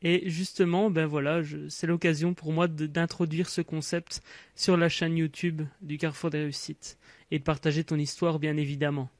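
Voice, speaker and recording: A man's voice: on the high side, flat delivery, normal speed, dry recording. A man hosting an interview, hesitant and filling his questions with stock phrases. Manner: hesitant, fills with "voilà". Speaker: interview host.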